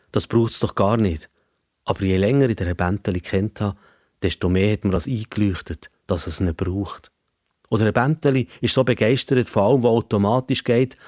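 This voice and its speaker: A man's voice: on the low side, darkish tone, no room sound, studio quality. A man with a Swiss German accent narrating a children's story in the first person as a storyteller.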